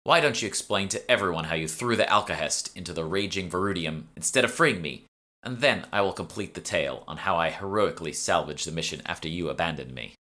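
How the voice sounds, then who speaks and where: A man's voice: sits low, expressive, a little fast, pushed and bright. A man voicing a cold, accusing, sarcastic woman of a magic guild in an audiobook. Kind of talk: audiobook character dialogue.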